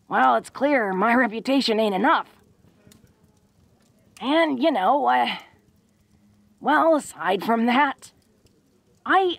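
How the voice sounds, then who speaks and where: A woman's voice: on the high side, vocal fry, dry recording. A woman playing a tough, protective, secretly awkward young orc woman in an intimate audio roleplay, with rustic slangy speech and a bashful stammer.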